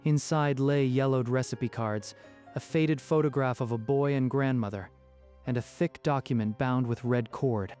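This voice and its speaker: A man with a medium-pitched voice narrates a scripted dramatic story. Music plays clearly behind his storytelling. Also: normal speed, darkish tone.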